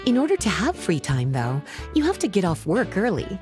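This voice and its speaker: Teasing, lively sultry woman. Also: medium pitch, brisk, over music. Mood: amused explaining. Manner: playful, teasing, articulate. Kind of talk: anime game voice acting.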